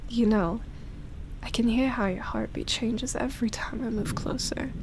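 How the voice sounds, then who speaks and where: A woman playing a seductive, tender yet obsessive immortal vampire in an intimate ASMR roleplay, breathy and teasing, slipping into whispers. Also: a little high, slight background noise, poor audio. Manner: breathy teasing, soft sighs and chuckles, whispered phrases.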